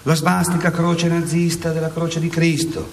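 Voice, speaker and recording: An actor playing a relentless, sardonic prosecutor in a satirical mock trial, declaiming insistently on stage. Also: male voice, fairly high pitch, little pitch movement, normal speed, echoey room, far from the mic, slight background noise, close to an everyday voice. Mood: insistent, indignant. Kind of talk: theatrical courtroom monologue in radio theatre.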